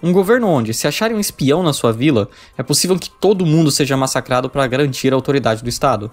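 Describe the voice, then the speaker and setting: A man's voice: mid-range voice, animated, fast, darkish tone, faint music behind. A man with a Brazilian accent reading a scripted, informal review in a wry, jokey manner. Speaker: video essay reviewer.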